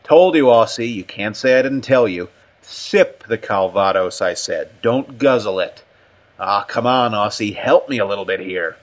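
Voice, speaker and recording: A man's voice: lowish voice, expressive, normal speed, close to an everyday voice. Man playing an unhinged, emphatic male academic. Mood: smug, loud. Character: unhinged male academic, pleading turning eerily flippant.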